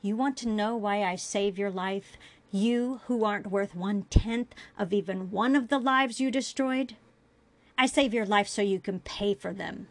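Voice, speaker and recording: Woman voicing a cruel, accusing alien interrogator, gravelly edge. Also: mid-range voice, measured pace, dry recording, lightly acted. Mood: cold menace. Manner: accusing, contemptuous, forceful. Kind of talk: dramatic fiction reading.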